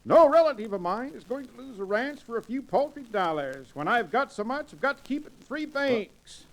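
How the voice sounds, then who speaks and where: An actor playing a pompous, grandiloquent sidekick in an old Western radio drama, speaking in florid, wordy phrases. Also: male voice, very high voice, big pitch swings, moderate pace, fairly bright tone, heavily acted, low-quality recording. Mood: grand, self-important. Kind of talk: 1940s-1950s radio drama acting.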